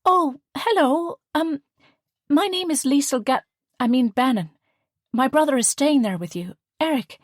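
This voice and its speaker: A woman's voice: high-pitched, animated, unhurried, no room sound, clean studio sound, voice pushed up. A woman voicing a polite, nervous young married woman who speaks hesitantly.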